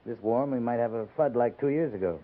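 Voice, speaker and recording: A man's voice: mid-range voice, narrow, tinny audio, close to an everyday voice. Man playing a dry, seasoned cavalry captain, thin old radio. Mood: relaxed, wry. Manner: laconic, dry, unhurried. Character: wry, seasoned male frontier cavalry captain. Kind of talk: 1950s radio acting. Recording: thin band-limited 1950s radio recording.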